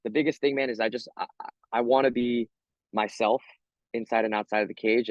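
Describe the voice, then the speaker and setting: An American man talks like a fighter in a relaxed interview, slangy and repetitive. His voice sits at a middle pitch. The sound is dry and a bit dull and lo-fi. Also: no room sound. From Hawaii, USA. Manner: slangy, repeats himself, says "man" often. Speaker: MMA fighter being interviewed. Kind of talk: casual interview chat.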